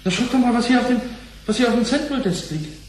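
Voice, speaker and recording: A man's voice: very high voice, fast talker, darkish tone. A man playing an earnest, placating bank boss, flustered yet in charge, in a radio play. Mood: tense, cautious. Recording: roomy echo, faint background noise.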